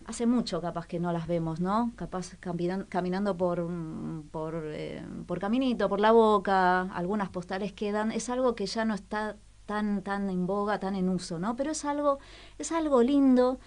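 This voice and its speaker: A woman's voice: mid-range voice, normal speed, darkish tone. A woman with a Rioplatense Argentine accent presenting on a radio show in a casual, explanatory way, often checking in with listeners. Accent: Rioplatense Argentine Spanish.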